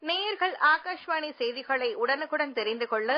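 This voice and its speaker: A woman's voice: high-pitched, lively intonation, normal speed. A woman reads a scripted station announcement as a radio announcer. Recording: dull lo-fi sound over music. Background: music.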